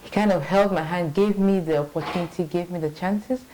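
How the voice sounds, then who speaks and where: Mellow-voiced woman, reflective. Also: fairly low pitch, brisk, slight background noise, rough recording. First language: English. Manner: reflective, hesitant restarts, frequent "you know", laughs easily. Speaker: interview guest, marketing manager. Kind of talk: conversational interview.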